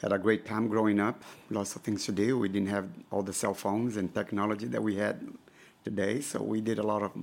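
Low gravelly man, hesitant. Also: level tone. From Brazil. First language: Portuguese. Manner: hesitant, many ums and pauses. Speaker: pastor and missionary. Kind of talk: casual interview.

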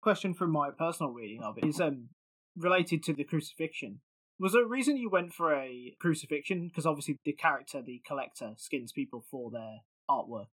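A man's voice: a little high, lively intonation, fairly quick, fairly bright tone, low-quality recording. A man hosting a podcast and asking interview questions in a conversational way.

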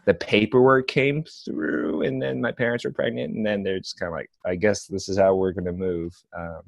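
A man's voice: fairly low pitch, steady tone, moderate pace, vocal fry, dry recording. A man in his late twenties from Northern Virginia, a podcast guest chatting casually, hesitant and rambling with frequent laughs. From United States (Northern Virginia). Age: 20s. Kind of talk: casual chat.

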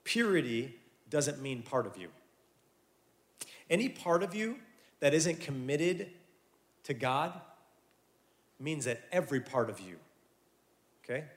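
A man in his thirties, a youth pastor, giving a lively, conversational sermon to young people with quick asides. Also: mid-range voice. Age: 30s. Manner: animated, conversational preaching with asides.

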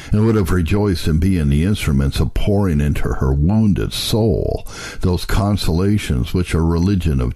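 A man reads an old religious text in a deep, husky voice with a mellow, dark tone. His phrasing is measured and earnest, with expressive rises and falls that suit a solemn audiobook narration. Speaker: narrator reading historical religious texts.

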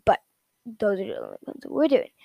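A woman giving a casual, unscripted product rundown in a rambling, repetitive, halting way. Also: medium pitch, huge pitch swings, gravelly, dark tone, dry recording, studio quality. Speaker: product reviewer.

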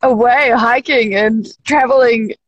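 Young NZ woman, podcast guest on a video call; dry sound, rambling and halting delivery. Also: on the high side, fairly even intonation, dry recording, dull audio. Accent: New Zealand. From New Zealand. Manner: rambling, halting, laughs easily. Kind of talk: casual interview.

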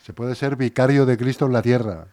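A man's voice: medium pitch, fairly even intonation, brisk, a little mellow, dry recording. A man hosting a casual radio interview, bantering and jokey, often interjecting with tag questions. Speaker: radio host.

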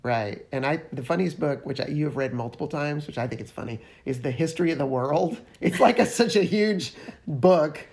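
Man, podcast co-host, fairly high voice, casual chat, self-deprecating, joking. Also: moderate pace, gravelly, darkish tone.

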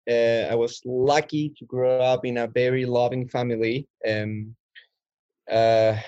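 A man's voice: mid-range voice, darkish tone, no room sound. A man in his twenties from Chile, telling his life story as an interview guest in a rambling way with many restarts. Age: 20s. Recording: dull lo-fi dry sound.

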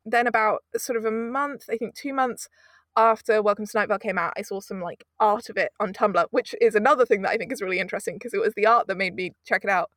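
A woman's voice: mid-range voice, fairly even intonation, quick pace, fairly bright tone, no room sound, studio quality. A woman in her twenties from the UK, chatting casually as an interview guest, rambling with frequent laughs. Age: 20s. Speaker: audio producer, guest.

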